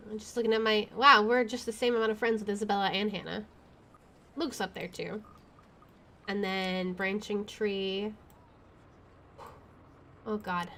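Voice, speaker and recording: A woman's voice: a little high, fairly bright tone. A woman streamer reading visual novel narration aloud, expressively, with casual chatty asides. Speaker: video game streamer.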